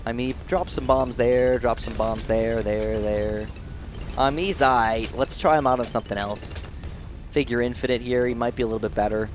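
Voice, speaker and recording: A man's voice: mid-range voice, normal speed, slight background noise. Nasal young man narrating gameplay. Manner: rambling, excitable, thinks aloud. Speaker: gaming channel host. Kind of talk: casual gameplay commentary.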